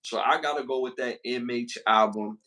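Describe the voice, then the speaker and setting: Brooklyn man, podcast co-host, bright edgy tone, slangy and repetitive. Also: mid-range voice, normal speed. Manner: slangy, repeats himself, reads out list questions. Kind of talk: casual podcast chat.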